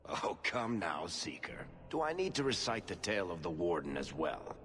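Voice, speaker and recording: A man's voice: low voice, sing-song, normal speed, a bit bright, husky, exaggerated voice. An actor playing a sly storyteller who tells his tale in a wry, theatrical way. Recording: game cutscene with music.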